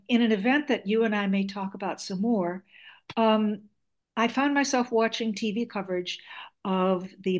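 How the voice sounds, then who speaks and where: Woman in her 70s, Greek first language, articulate and reflective interview guest. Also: mid-range voice. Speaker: guest author. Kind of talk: interview storytelling.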